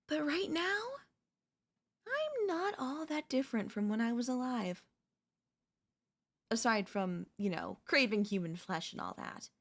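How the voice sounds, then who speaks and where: A woman's voice: medium pitch, sharp tone, heavily acted. A woman voicing a chatty female zombie who cheerfully and conversationally coaxes in a comedic audio roleplay. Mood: coaxing, reasonable. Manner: conversational, coaxing, wheedling. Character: chatty, cheerfully persuasive female zombie craving human flesh.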